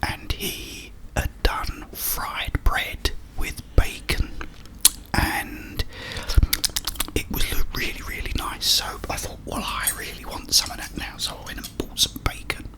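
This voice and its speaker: A British man hosting an ASMR ramble in a breathy, unvoiced whisper, chatting casually with slow pauses. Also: bright, forceful tone, poor audio. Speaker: ASMR video host.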